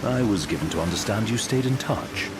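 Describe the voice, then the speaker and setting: Refined adviser guy, done by a man, formal, lowish voice that moves around a lot, game cutscene. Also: animated, noisy background, lightly acted. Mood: polite. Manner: formal, precise, measured. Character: refined, analytical young royal adviser, wary and formal. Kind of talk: video game cutscene acting.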